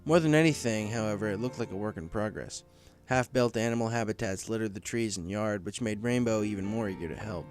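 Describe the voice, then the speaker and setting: A man's voice: fairly low pitch, fairly quick, darkish tone. A man reading a story aloud as scripted narration. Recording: faint music under the voice.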